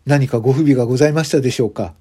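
A man's voice: mid-range voice, rapid-fire, warm tone, breathy edge, lightly acted. A man voicing a deferential hotel manager who speaks in formal, apologetic language. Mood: flustered.

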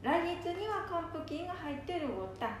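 In a very echoey room, a woman plays a high-voiced elderly grandmother from a radio play. She speaks in Nambu dialect, trusting and pleased with herself. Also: lively intonation, a little fast. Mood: pleased, confident. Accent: Nambu dialect of northern Japan. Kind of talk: amateur radio drama acting. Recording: echoey room with faint background noise.

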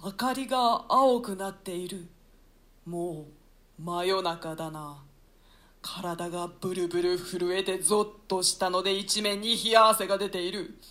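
A man's voice: sits high, steady tone, measured pace, fairly bright tone. An actor playing a guilt-ridden villain king, delivering an anguished theatrical monologue in a staged radio-play reading.

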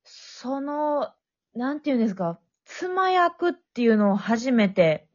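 Young woman, hesitant and high-pitched. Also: very animated, slow pace, darkish tone. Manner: hesitant, searching for words, polite. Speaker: amateur voice actor, guest. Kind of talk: casual chat. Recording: dull lo-fi audio.

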